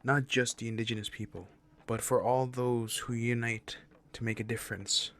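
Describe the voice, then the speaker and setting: A man giving solemn, measured scripted narration for a documentary presentation. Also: medium pitch, fairly even intonation, very noisy. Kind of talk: scripted narration over music.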